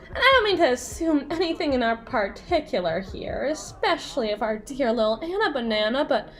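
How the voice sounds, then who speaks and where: Woman acting a high-pitched, teasing yandere girl. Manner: teasing, sassy, theatrical, drawn-out emphasis. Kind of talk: ASMR roleplay monologue addressed to the listener.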